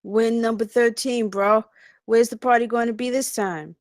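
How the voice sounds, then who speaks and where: A woman's voice: fairly high pitch, steady tone, moderate pace, dry recording, clean studio sound, lightly acted. A woman playing a cocky, suspicious frat bro in his twenties at a comedy script table read. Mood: excited.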